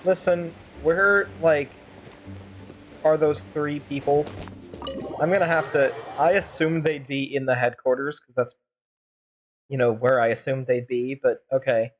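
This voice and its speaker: Animated game streamer with music behind. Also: medium pitch, a little slow, long pauses. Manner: animated, rambling, sardonic asides. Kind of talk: playthrough commentary with fan-dub character reading.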